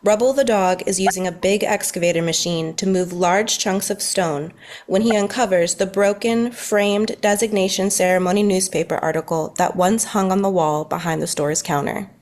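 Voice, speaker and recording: A woman's voice: mid-range voice, steady tone, moderate pace, darkish tone. A woman reading scripted narration at a table read of a story.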